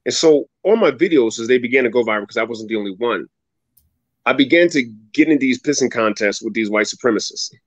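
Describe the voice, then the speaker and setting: A man in his thirties telling a personal story as a guest on a video-call interview, animated and dramatic, leaping up in pitch when he acts out other people. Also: a little fast, dry recording. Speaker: guest speaker. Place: home room with ceiling fan, indoors. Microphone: video call webcam. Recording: dull, dry video call audio.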